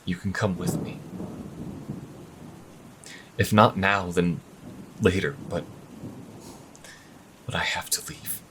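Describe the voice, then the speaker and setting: A man's voice: low-pitched, slow, long pauses. A man playing a defiant healer pleading with his lover in an intense, emotional fantasy audio drama. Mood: sad, resolute. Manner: intense, emotional, swinging from hushed to shouting. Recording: rain and thunder noise behind.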